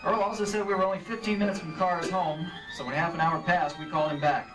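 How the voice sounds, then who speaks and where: An actor playing a probing, skeptical insurance investigator in old-time radio detective style. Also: male voice, sits high, steady tone, fast, close to an everyday voice. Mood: suspicious narrating. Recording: distant stage mics, music behind.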